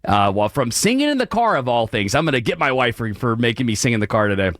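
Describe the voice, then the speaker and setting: Hoarse radio host, dry studio sound. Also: male voice, mid-range voice, big pitch swings, fast, a bit bright, no echo. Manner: apologetic, clears throat often, many restarts. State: hoarse, losing his voice. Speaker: radio talk show host. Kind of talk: late-night talk radio monologue. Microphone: studio broadcast mic.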